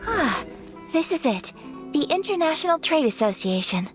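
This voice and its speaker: A woman playing a polite, composed young noblewoman in a video game, speaking in a formal, gentle, measured manner. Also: sits high, big pitch swings, faint music behind. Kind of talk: scripted game dialogue.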